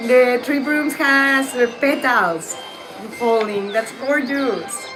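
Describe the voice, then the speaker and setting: A woman from Mexico with a slightly high voice, chatting casually as she plays and greets viewers by name. Loud game music runs behind her. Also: slow pace, poor audio. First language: Spanish. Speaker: streamer. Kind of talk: casual live game-stream chat.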